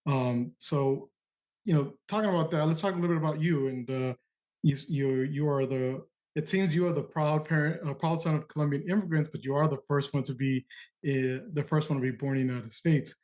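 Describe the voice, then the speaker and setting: A man's voice: fairly high pitch, a little fast, a little mellow, rough recording. A man hosting a podcast interview, asking long questions with a hesitant manner and frequent restarts. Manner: hesitant, restarts often while framing questions. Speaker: podcast host.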